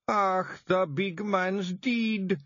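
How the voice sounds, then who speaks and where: A man's voice: medium pitch, completely flat delivery, extremely slow, clean tone, dry recording, dull audio, voice pushed up. A man voicing a simple-minded, easily upset clansman, whiny and sobbing. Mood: wailing.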